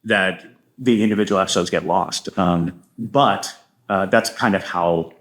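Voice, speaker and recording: Low-voiced, talkative middle-aged American man. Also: unhurried, fairly bright tone. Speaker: podcast guest, filmmaker and puppeteer. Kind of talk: casual interview chat.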